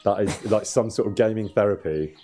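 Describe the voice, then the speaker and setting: A man's voice: fairly low pitch, brisk, dark tone, slight background noise. A man hosting a gaming livestream, chatting casually and a little hesitantly while he plays. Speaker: streamer and host of a gaming livestream. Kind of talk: casual livestream chat while playing a game.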